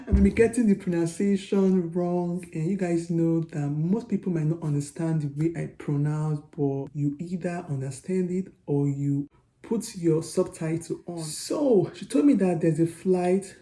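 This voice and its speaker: A young Nigerian woman with a Nigerian accent, telling a story to her vlog viewers in a chatty, animated way. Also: fairly low pitch, unhurried, echoey room. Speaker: vlogger. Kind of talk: storytelling to camera.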